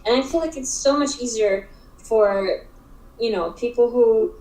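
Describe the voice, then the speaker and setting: A young woman from Africa, a guest in a casual interview, speaks in halting phrases full of fillers and restarts. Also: fairly high pitch, a little mellow. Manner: halting, filler-heavy speech with frequent restarts. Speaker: interview guest, digital art expert. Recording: roomy echo.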